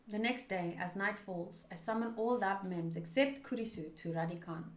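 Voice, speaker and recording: A woman's voice: mid-range voice, normal speed, a little hiss or hum. A chatty woman livestreaming a visual novel, reading its narration aloud and commenting casually in reaction to the game and viewers. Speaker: game streamer. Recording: roomy echo.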